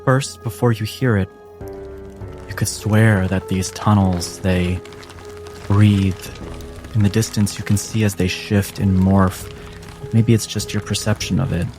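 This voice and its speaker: A man acts as game master for a tabletop game. His voice is on the low side, and he narrates atmospherically with deliberate, dramatic pauses. Music plays faintly underneath. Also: steady tone.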